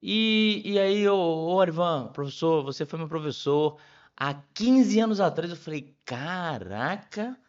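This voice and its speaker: A man's voice: on the high side, lively intonation, unhurried, clear, resonant tone. Middle-aged Bahian man, slightly muffled audio. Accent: Bahian Brazilian Portuguese. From Salvador, Bahia, Brazil. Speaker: podcast guest, physiotherapist. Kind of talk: casual conversational storytelling.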